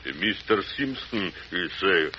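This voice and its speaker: A man's voice: low-pitched, lively intonation, unhurried, bright, forceful tone, heavily acted. An actor playing a big, simple-minded, earnest Russian strongman, groping for English words in old radio style. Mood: naive pride. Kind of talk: 1940s radio acting. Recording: muffled, noisy old radio recording.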